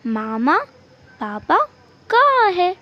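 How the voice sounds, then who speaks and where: A woman's voice: extremely high pitch, very animated, drawn-out words, highs cut off, pitched above the performer's own voice, heavily acted. A woman voicing a mischievous five-year-old boy who talks in simple, repeated childish phrases as part of a told story. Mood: playful, naughty. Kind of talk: amateur storytelling.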